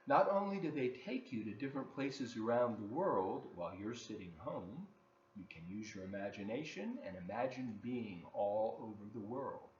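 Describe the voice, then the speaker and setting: A children's story reader reading a picture book aloud expressively, with dramatic emphasis and asides to young listeners. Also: medium pitch. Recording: roomy, dull lo-fi, faint noise.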